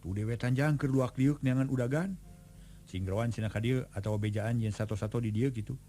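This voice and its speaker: A man voicing an alert, sharp-eyed lookout brother who reports what he spots. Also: mid-range voice, fairly quick, faint music behind, natural voice.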